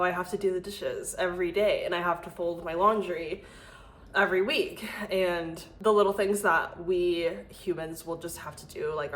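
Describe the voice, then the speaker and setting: A woman's voice: lowish voice, roomy sound. A young adult woman with an American accent, chatting casually and informally as she hosts a video, peppering her talk with "like". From United States. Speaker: video host reading listener messages. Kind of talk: casual chat to camera.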